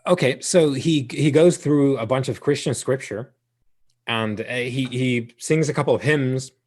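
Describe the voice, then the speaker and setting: Slow, hoarse game master, low voice. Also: male voice, a little mellow. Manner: hesitant, many fillers, chuckles often. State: hoarse, losing his voice. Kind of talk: narrating a tabletop game.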